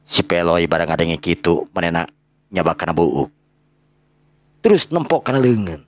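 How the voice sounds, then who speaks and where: A man's voice: sits low, sing-song, normal speed, no echo. A man with a Sundanese accent narrating a folktale dramatically, breaking phrases up for suspense. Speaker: storyteller.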